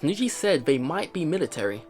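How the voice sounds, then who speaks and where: Male let's player, animated and fairly high, reading story text and musing, game music behind. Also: quick pace. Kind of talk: reading a visual novel aloud with commentary. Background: visual novel game music.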